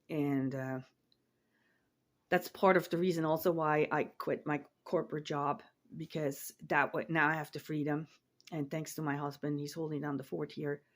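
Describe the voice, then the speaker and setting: Low-voiced woman vlogging from her home office. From Switzerland. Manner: rambling, repeats words, trails off. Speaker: online reseller and vlogger. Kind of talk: casual chat to camera.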